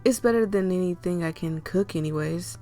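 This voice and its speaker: A woman with a hoarse, failing voice voicing a calm, wry young man in a game. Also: on the low side, a little fast, faint music behind, lightly acted. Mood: easygoing. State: hoarse, losing her voice.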